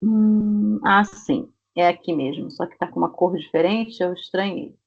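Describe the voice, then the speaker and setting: A woman with a Rio de Janeiro accent teaching an online Portuguese class, drawing out her example words slowly. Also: lowish voice, creaky. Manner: slow, stretched-out example words. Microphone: video call. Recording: muffled video call audio.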